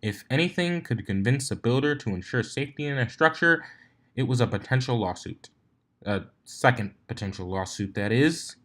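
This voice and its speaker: A man's voice: mid-range voice, lively intonation, normal speed, fairly bright tone. A man reading a scripted story aloud as a fan fiction narrator.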